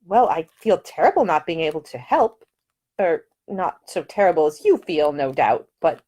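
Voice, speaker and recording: A woman's voice: deep voice, sing-song, normal speed, a little mellow. A woman voicing a cheerful, talkative, well-bred young Englishwoman traveller in an audiobook, eager and solicitous, with an upper-class English accent. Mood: concerned.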